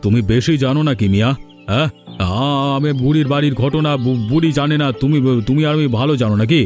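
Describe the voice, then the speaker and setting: A man's voice: mid-range voice, fast talker, darkish tone, lightly acted. A malicious, greedy village gossip from a cartoon acted by a man. His mid-range voice is irritable and condescending, with faint music behind it. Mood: irritated. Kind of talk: cartoon voice acting.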